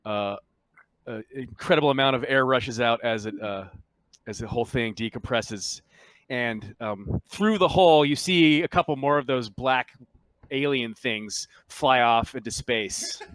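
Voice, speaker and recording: A man running a tabletop game, narrating off the cuff in a halting, conversational, explanatory way. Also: medium pitch, fairly bright tone, dry recording. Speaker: game master.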